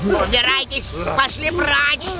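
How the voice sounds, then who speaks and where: An actor voicing a grumbling, excitable little old man traveller in a children's cartoon, exclamatory in delivery. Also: high-pitched, slow pace. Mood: indignant. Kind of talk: animated children's cartoon dub.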